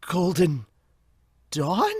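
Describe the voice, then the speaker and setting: A man's voice: high-pitched, huge pitch swings, extremely slow, slightly rough, dry recording, studio quality, pitched above the performer's own voice, full character voice. A man voicing frightened, bewildered villagers in an amateur manga dub, with anxious exclamations.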